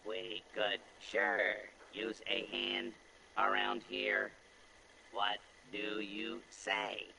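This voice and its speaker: Monotone, gravelly robot cowboy sheriff. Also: male voice, on the low side, background noise, full character voice. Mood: folksy, flat. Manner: flat, halting, programmed delivery with cowboy drawl phrasing. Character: folksy robot cowboy sheriff greeting theme park visitors. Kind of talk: scripted video game character dialogue.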